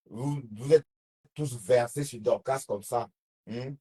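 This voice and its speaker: A man's voice: medium pitch, takes it slowly, dark tone, slightly rough. A man with a Cameroonian French accent talking casually on a live stream, reading viewer comments and answering them in a rambling way.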